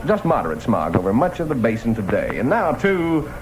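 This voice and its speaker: Playful, animated 1960s American radio deejay. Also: male voice, mid-range voice, brisk, rough recording. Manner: playful, hip patter. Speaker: radio disc jockey. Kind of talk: 1960s top-40 radio hosting and live ad reads. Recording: 1960 radio aircheck with music. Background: music.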